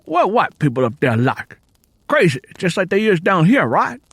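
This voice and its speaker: A man's voice: high-pitched, big pitch swings, moderate pace, fairly bright tone, breathy edge, no echo, pitched above the performer's own voice, exaggerated voice. A man playing a cynical, self-justifying country man in an audiobook, speaking pointedly in folksy Southern US dialect. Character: wary, self-justifying male small-town Southern homebody, cynical. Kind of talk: acted audiobook character dialogue. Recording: music playing under the voice.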